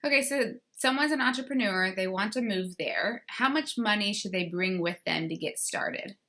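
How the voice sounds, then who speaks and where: A woman's voice: medium pitch, normal speed, a bit bright. A woman hosting an interview, asking short, upbeat questions. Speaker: interview host.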